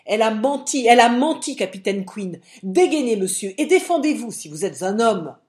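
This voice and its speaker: A woman voicing a hot-headed, proud and lovesick teenage boy in an audiobook, shouting and declaiming defiantly. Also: mid-range voice, animated, takes it slowly, echoey room. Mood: furious challenge. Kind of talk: audiobook character acting.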